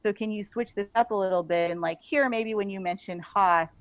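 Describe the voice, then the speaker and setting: A woman guest chats casually in a podcast interview, at an average pitch. She tells stories with animation, slipping into quoted dialogue as she goes. The sound is slightly muffled and very dry, with little room around the voice. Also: fairly quick, dry recording. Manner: animated storytelling, quotes imagined dialogue, giggly.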